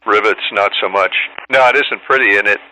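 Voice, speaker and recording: Forceful, bright-voiced man chatting on ham radio. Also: lowish voice, fairly quick, slight background noise, poor audio. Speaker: host, amateur radio operator in contact. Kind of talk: casual ham radio conversation.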